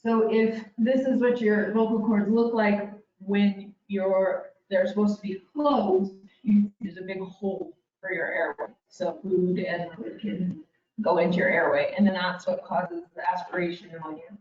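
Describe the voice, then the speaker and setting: A woman's voice: mid-range voice, normal speed, darkish tone. A woman speech-language pathologist giving a casual educational presentation, explaining with frequent "kind of" fillers. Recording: roomy, distant, dull sound.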